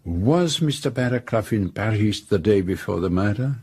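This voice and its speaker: A foreign gentleman detective in a radio drama. He is courteous and self-assured, with formal, precise, measured phrasing and a shrewd, probing manner. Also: on the low side, animated, husky. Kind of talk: radio drama acting and first-person narration.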